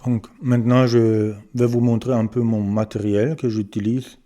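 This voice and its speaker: A German man speaking French with a German accent, hesitantly introducing himself and showing his small home repair workshop, often pausing to search for words. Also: mid-range voice, level tone, warm tone, soft background music. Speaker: electronics repair beginner presenting his channel. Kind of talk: casual self-introduction and workshop tour.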